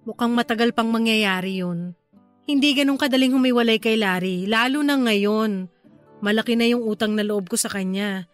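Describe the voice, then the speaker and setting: Actress as affectionate, stifled young Filipino woman; higher-pitched voice, radio drama, music underneath. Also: moderate pace, no room sound, close to an everyday voice. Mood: frustrated, worried. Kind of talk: Tagalog radio drama acting.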